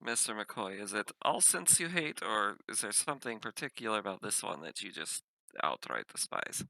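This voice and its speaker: Man playing a composed, gravelly spaceship captain. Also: lowish voice, sing-song, normal speed, sharp tone, dry recording, exaggerated voice, poor audio. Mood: dry, composed. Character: composed, dryly wry female spaceship captain.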